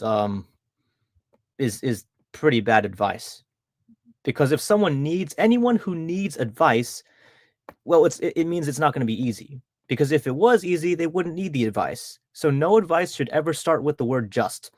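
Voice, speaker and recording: A man's voice: a little high, big pitch swings, normal speed, dry recording, clean studio sound. A young man streaming casually, reading out chat messages and rambling with frequent restarts, his tongue held back from an underbite. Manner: rambling, self-correcting, laughs at himself.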